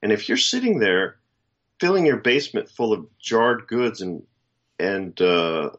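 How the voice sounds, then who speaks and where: Low-pitched American man, slightly muffled sound. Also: big pitch swings, fairly bright tone. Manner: deliberate, opinionated, rhetorical questions. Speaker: talk show co-host. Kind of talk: casual political commentary.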